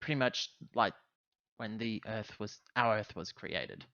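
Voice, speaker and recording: A man playing a roleplaying game, talking casually in character with halting, self-correcting explanations. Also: lowish voice, bright, forceful tone, no room sound, highs cut off. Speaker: tabletop roleplaying player.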